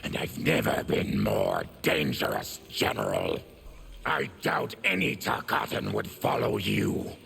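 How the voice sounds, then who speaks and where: An actor playing a bitter, proud, vengeful mutant ex-soldier with a gravelly growl, in formal, menacing fighting-game dialogue. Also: male voice, on the low side, huge pitch swings, unhurried, a bit bright, a little hiss or hum, heavily acted. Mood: bitter, grim.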